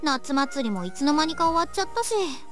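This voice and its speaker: Lively teen schoolgirl, visual novel style, extremely high voice, clear music underneath. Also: racing. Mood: casual, wistful. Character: lively teenage anime schoolgirls chatting about summer. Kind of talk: Japanese visual novel voice acting. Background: game music.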